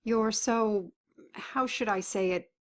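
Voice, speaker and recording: A woman's voice: medium pitch, slow, lightly acted. An actress playing a dying older mother who talks in a blunt, folksy, wry way. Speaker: actor. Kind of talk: web drama acting.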